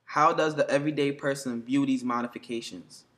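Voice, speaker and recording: A man's voice: mid-range voice, fairly even intonation, brisk, fairly bright tone. A man reading a documentary narration in a plain, read-aloud way.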